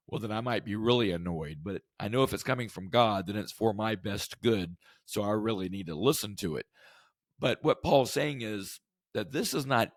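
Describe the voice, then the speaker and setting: A man hosts a devotional podcast in a low, gravelly voice that is hoarse from the flu. He teaches earnestly and conversationally and keeps asking the listener rhetorical questions. The recording is close and dry, with no room sound. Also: no room sound. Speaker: podcast host.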